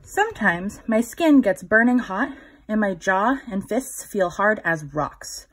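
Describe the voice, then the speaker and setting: A woman reading a picture book aloud to young children in a gentle, instructive way, with a slightly husky voice. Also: mid-range voice, lively intonation. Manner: gentle, instructive, pausing to put questions to the child listener. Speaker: children's story reader.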